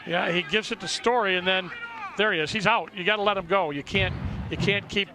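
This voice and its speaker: A man's voice: sits high, a little fast, noisy background. A man giving folksy, conversational color commentary on a football game, often repeating his phrases. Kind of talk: conversational sports analysis. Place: sports broadcast at an outdoor stadium.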